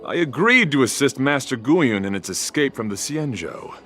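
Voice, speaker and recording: Earnest, gallant game knight; mid-pitched, expressive melodic delivery, formal and ornate, over soft music. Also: male voice, moderate pace. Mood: courteous, calm. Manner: courtly, flowery, formal diction. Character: chivalrous, earnest young male knight devoted to beauty. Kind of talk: theatrical video game voice acting.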